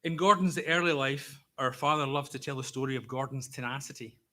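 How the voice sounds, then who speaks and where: A middle-aged Scottish man with a bright, forward voice of ordinary pitch tells family stories at a memorial. He speaks slowly and deliberately and keeps a dry, wry humour running through his eulogy. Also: fairly even intonation. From Scotland. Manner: wry, gently humorous storytelling; deliberately slow, careful delivery. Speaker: eulogy speaker, brother of the deceased. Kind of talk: prepared memorial speech.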